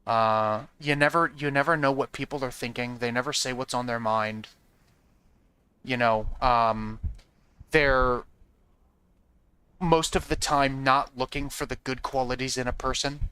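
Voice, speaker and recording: A man's voice: mid-range voice, fairly even intonation, measured pace, long pauses, sharp tone, clean tone. A man streaming a game and chatting with viewers in a rambling, frank way, often asking "you know what I mean?" and swearing now and then. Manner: frank and profane. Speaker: streamer talking to chat. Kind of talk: casual chat with viewers while playing.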